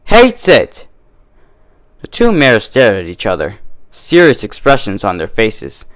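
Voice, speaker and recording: A man's voice: fairly low pitch, big pitch swings, moderate pace, fairly bright tone. A man reading scripted story narration as a fan-fiction reader.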